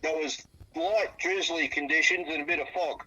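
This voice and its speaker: An Australian man tells his story over a phone line. His voice is very bright and sharp. He stops and starts, often repeating words as he goes. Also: on the high side, steady tone, breathy edge. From South Australia. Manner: halting, repeats words while recounting. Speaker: interviewee, eyewitness. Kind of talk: phone interview. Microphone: phone on speaker.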